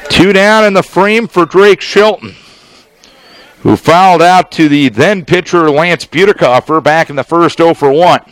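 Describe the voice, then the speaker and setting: A man with a slightly high-pitched voice delivers live baseball play-by-play in a dry, close sound. He works as a radio announcer, using clipped, measured phrases and deliberate pauses between them. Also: a bit bright, no room sound, poor audio. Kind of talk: live sports play-by-play commentary.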